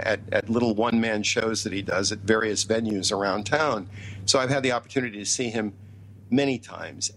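A man's voice: on the low side, fairly bright tone, poor audio. A middle-aged man speaking as an interview guest, opinionated and emphatic, repeating phrases to drive points home. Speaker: interview guest, TV writer and producer. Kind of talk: interview talk.

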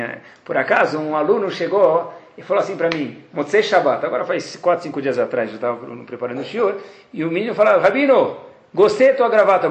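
A Brazilian man teaching a class as a rabbi, on the higher side for a man, his voice leaping up and dropping low as he acts out the voices in his stories. He speaks with a Brazilian Portuguese accent, and the room around him rings with echo. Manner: animated storytelling, acts out quoted dialogue. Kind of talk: Torah class lecture.

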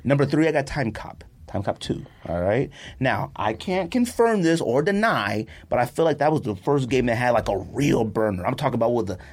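A man's voice: mid-range voice, big pitch swings, a little fast. A middle-aged man co-hosting a podcast, chatting casually in slang, stating opinions emphatically and repeating himself to drive them home. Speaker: podcast co-host counting down a list.

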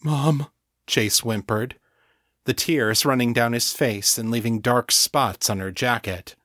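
A man's voice: medium pitch, fairly even intonation, unhurried, bright, forceful tone, no echo, clean studio sound. A man voicing a frightened, remorseful young man who whimpers through his lines. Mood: tearful. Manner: whimpering, shaky.

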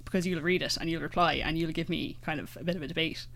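A woman's voice: deep voice, fairly even intonation, fairly bright tone. A young Irish woman in her twenties chatting casually, full of fillers and restarts. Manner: fillers, many restarts, quick asides. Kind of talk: casual chat.

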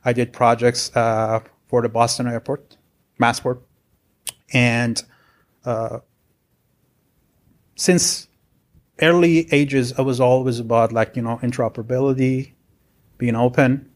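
A man's voice: medium pitch, fairly even intonation, unhurried, pauses often. A man in his forties, originally from Iran, telling his career story as a podcast guest in an earnest, rambling way. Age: 40s. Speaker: guest, software architect. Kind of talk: casual interview chat.

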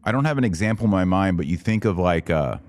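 A man's voice: low voice, lively intonation, quick pace, darkish tone. A man hosting a podcast, explaining things to his online audience in a didactic but informal way, with casual asides. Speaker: podcast host and commentator.

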